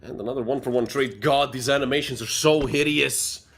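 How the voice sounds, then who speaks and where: A man's voice: mid-range voice, fairly even intonation, brisk, a bit bright, a little hiss or hum. A young man from Serbia casting an esports match alone, chatting with viewers between plays. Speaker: esports caster. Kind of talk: solo play-by-play commentary.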